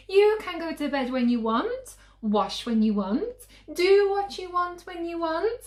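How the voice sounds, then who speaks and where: Woman acting a desperate, high-pitched pleading storybook mum. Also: echoey room, heavily acted. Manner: coaxing, gushing through escalating lists. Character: pleading mum, increasingly desperate, bribing her child.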